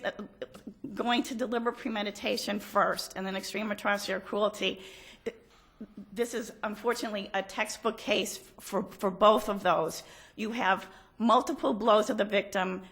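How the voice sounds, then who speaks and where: A woman prosecutor with a hoarse, rough-edged voice lays out her case before a panel of judges. She speaks in a measured, factual way and holds firm under questioning. The setting is an appellate courtroom. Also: mid-range voice, fairly bright tone. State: hoarse from allergies. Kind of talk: appellate oral argument.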